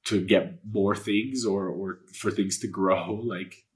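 Low-voiced earnest man. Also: fairly even intonation, slow. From Canada. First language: Polish. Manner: earnest and spiritual, rambling with many fillers and curse words. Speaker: guest. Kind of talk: candid personal interview talk.

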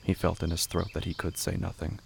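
A man's voice: low voice, little pitch movement, a little fast, creaky, a little hiss or hum. A man reading scripted literary fiction as a narrator, with insects heard behind him.

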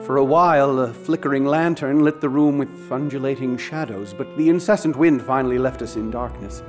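An actor playing an eccentric ghost hunter in a radio drama, who speaks in formal, old-fashioned sentences. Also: male voice, mid-range voice, brisk, close to an everyday voice. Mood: ominous. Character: grave, eccentric male ghost hunter telling a dark tale. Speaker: witness recounting a haunting. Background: music.

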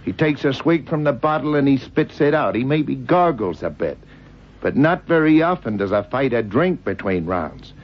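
A man's voice: medium pitch, animated, moderate pace, dull audio. An actor playing a streetwise, plain-spoken boxing cornerman in an old radio crime drama. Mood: explaining.

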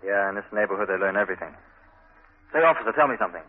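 An actor playing a curious, wary New neighborhood fellow with a husky voice in a 1940s radio drama. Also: male voice, on the low side, animated, racing. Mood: probing, cagey. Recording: muffled old radio broadcast.

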